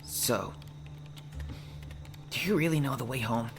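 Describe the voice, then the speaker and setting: A man's voice: mid-range voice, slow pace, music in the background, voice pushed up, natural voice. A man voicing a bewildered, doubtful male sidekick in an audiobook, with a questioning, incredulous manner. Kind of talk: audiobook character acting.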